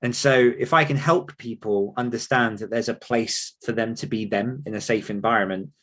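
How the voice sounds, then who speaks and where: A man's voice: lowish voice, normal speed, a bit bright. A man speaking earnestly and conversationally as an author coach being interviewed.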